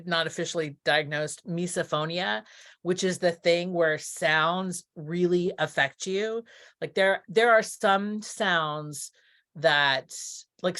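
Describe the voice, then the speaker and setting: Interview guest: middle-aged woman from Texas, slightly low register, casual, hesitant, thinks aloud. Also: a bit bright. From Texas, United States. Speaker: interview guest, theatre producer.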